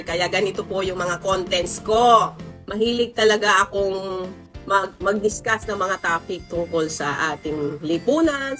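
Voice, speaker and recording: A Filipino woman in her forties, a teacher and content creator, chatting to her followers in a conversational, emphatic storytelling style with drawn-out words. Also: mid-range voice, bright, forceful tone. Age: 40s. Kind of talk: casual storytelling vlog to followers.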